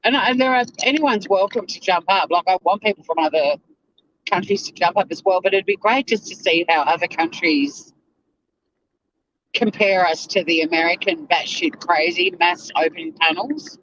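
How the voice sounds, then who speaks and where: An Australian man hosting a casual livestream, chatty and animated, swearing freely, with a slightly whiny voice. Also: high-pitched, normal speed, dull audio, a little hiss or hum. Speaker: livestream host. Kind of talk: casual livestream chat to viewers.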